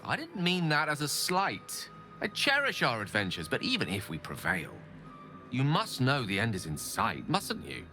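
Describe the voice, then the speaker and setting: A man's voice: mid-range voice, wildly swooping pitch, moderate pace, bright, forceful tone, exaggerated voice. A man playing an eloquent, ambitious and embittered wizard in a video game, speaking with earnest, theatrical and formal articulation. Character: ambitious, eloquent, embittered male wizard pleading his case. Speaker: video game companion character. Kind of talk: dramatic game dialogue acting. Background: background music.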